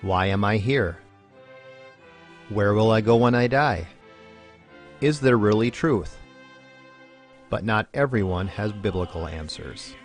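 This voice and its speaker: Low-voiced male announcer over music. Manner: measured announcer delivery. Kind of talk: scripted program intro. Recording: music bed under voice.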